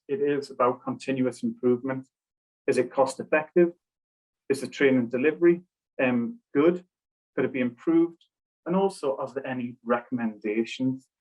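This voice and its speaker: A man's voice: mid-range voice, rough recording. A man on a webinar panel who explains a training framework methodically, going through it step by step. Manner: methodical, lists steps one by one.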